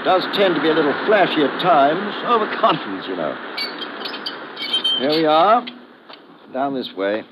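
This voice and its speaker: Animated officer, noisy old radio. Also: male voice, on the high side, normal speed, pauses often, dull audio. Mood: easygoing. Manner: casual, clipped sentences. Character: easygoing colonial officer leading his lookout men. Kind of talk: 1950s radio drama acting.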